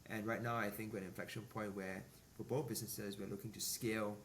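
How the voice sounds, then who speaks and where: A man in his forties from Singapore, a business executive answering podcast interview questions in a measured way with many fillers and restarts. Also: fairly low pitch, level tone. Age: 40s. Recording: distant mic with faint ambient noise.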